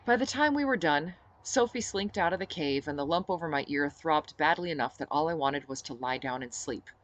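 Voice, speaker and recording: A woman reading her own novel aloud as expressive first-person narration. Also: low-pitched, a little fast, muffled recording. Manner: dramatic reader who shifts into character for dialogue.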